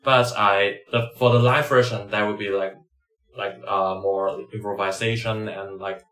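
A man explaining his sound work in an informal interview, haltingly, peppered with filler words. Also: on the low side, steady tone, a bit bright. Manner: halting, frequent "like". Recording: echoey room.

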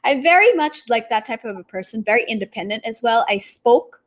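A woman's voice: fairly high pitch, expressive, fairly quick. A woman from Japan hosting a podcast in a conversational interviewing style. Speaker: podcast host.

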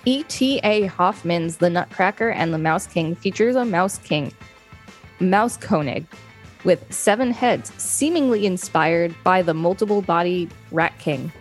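A woman's voice: on the low side, measured pace, faint music behind. A woman co-hosting a podcast, reading an encyclopedia article aloud. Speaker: podcast co-host.

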